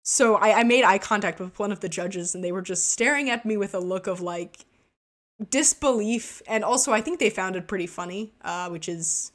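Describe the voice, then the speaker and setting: A woman's voice: mid-range voice, normal speed, fairly bright tone. A woman vlogger telling an embarrassing story in a casual, self-deprecating way while narrating gameplay. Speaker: gaming vlogger.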